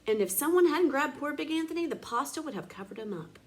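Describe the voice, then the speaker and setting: A woman reading a children's storybook aloud in an expressive, dramatic teacher's manner. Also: high voice, fast talker.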